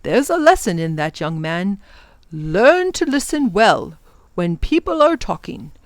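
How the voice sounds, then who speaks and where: Woman playing a fussy, animated male professor. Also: medium pitch, a little slow, no echo. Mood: gently lecturing. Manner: exclamatory, old-fashioned, instructive. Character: fussy, kindly male professor, easily flustered, rallying children.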